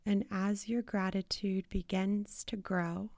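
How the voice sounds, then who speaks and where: Very slow, mellow woman guiding meditation. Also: medium pitch, little pitch movement, no room sound. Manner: soothing, spacious, with long pauses between short phrases. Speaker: meditation guide. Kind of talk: guided meditation.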